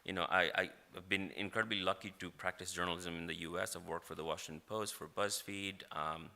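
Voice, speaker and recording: A man's voice: sits low, fairly even intonation, brisk, vocal fry, sharp tone. A man from Nepal, speaking as a journalist on a conference panel, filling his talk with "like, you know". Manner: hedging, heavy use of "like, you know".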